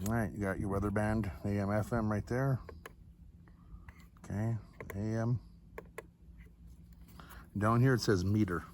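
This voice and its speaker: A man's voice: lowish voice, background noise. A man giving a casual, unscripted product review to viewers in a laid-back, rambling, folksy way.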